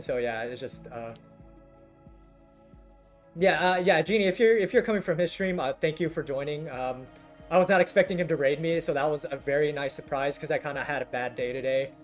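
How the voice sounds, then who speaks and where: A young man streaming a game, chatting to viewers in a halting, rambling way full of fillers, his voice scratchy and worn down from talking for hours. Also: a little high. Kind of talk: casual live-stream chat with viewers.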